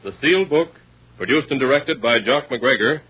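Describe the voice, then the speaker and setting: A man's voice: mid-range voice, expressive, moderate pace. A man narrating a horror anthology as host, in an ominous, grandiose 1940s radio style. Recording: muffled, hissy vintage radio recording.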